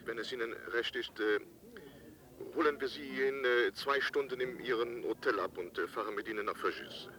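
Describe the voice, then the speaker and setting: An actor playing a polite police inspector in a German radio drama, formal and hesitant. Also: male voice, medium pitch, normal speed, bright, forceful tone, close to an everyday voice. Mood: sympathetic, halting. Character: polite, halting French police inspector on the phone.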